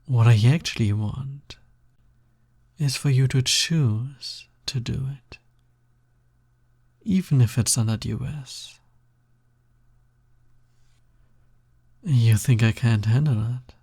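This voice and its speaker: A man plays a snake demon roommate in an intimate audio roleplay, flirty and pushy. His voice is slow and mellow, with a gravelly creak at the edges. He teases and coaxes in a casual, breathy way. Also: medium pitch, no room sound. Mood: smug, flirty. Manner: teasing, coaxing, casual.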